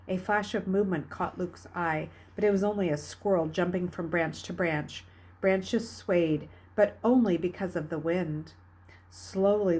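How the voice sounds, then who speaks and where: A woman reading a novel aloud as a storyteller, with dramatic, expressive delivery. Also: lowish voice, husky. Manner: dramatic, expressive, with hushed dips and emphatic rises. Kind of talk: read-aloud storytelling narration.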